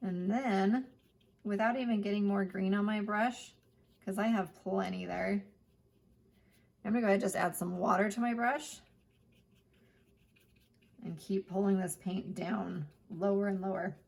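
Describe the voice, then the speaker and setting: A woman art teacher giving a chatty step-by-step painting lesson, her voice hoarse. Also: mid-range voice, fairly even intonation, measured pace, pauses often, darkish tone. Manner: chatty, plain instructional talk.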